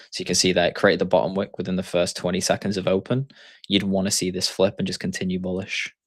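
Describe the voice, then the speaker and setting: Low-voiced Yorkshire man explaining trades. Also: no echo, clean studio sound. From Yorkshire, England. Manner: explanatory, thinking aloud over charts. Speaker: trading coach and stream host. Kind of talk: live-stream chart commentary.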